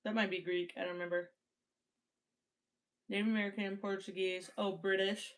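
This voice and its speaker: A woman's voice: medium pitch, level tone, normal speed. A woman co-hosting a casual livestream, chatting playfully and reading Bible passages aloud. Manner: playful, chatty, reads scripture steadily. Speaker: livestream co-host.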